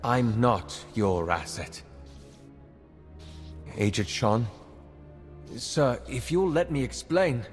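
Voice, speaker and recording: Earnest male spy for a game, mid-pitched and apologetic, carrying guilt, recorded with hall reverb. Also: sing-song, takes it slowly, long pauses. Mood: pleading. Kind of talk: video game cutscene acting. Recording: reverberant hall, music underneath.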